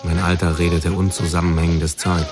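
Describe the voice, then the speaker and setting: A man's voice: sits low, little pitch movement, a little fast, over music. An actor playing a man who narrates his troubled youth in a terse, matter-of-fact way for a radio drama. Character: male narrator plainly recounting his troubled teenage years. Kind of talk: scripted first-person narration, radio drama.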